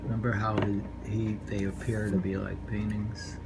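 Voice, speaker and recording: A man reading poetry informally, unhurried and rambling, repeating lines and adding offhand commentary. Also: fairly low pitch, little pitch movement, creaky. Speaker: poetry reader. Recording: noisy room ambience, amateur home recording.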